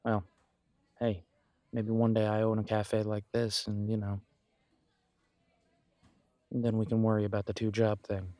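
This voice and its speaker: A low, closely recorded, dry voice of a man acting as a supportive male best friend in a roleplay audio. He talks casually and earnestly, pausing as he goes. He is encouraging and happy to poke fun at himself. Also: monotone, mellow, no room sound, natural voice. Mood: wry, candid. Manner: earnest, encouraging, conversational with pauses. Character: supportive, self-deprecating male best friend, young working adult.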